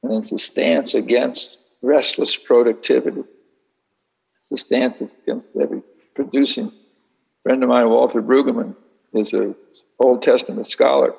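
Speaker taking audience questions: a gravelly, husky man who sounds unhurried and dryly wry, on a dull lo-fi recording. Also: mid-range voice, steady tone, a little mellow. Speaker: speaker leading a Q&A session. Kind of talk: reflective talk answering an audience.

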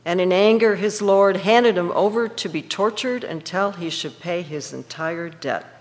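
A reader delivers scripture with measured emphasis. Long reverb from a large church room trails each phrase. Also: medium pitch. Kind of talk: scripture reading.

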